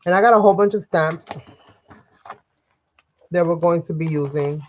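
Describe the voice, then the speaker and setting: A woman's voice: lowish voice, normal speed, dull audio, slight background noise. Sniffly woman chatting through a craft stream. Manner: chatty, rambling, talks to viewers as you guys. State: sniffly, under the weather. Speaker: craft livestream host. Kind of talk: live crafting tutorial. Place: home craft desk. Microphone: overhead camera livestream, speaker off-frame.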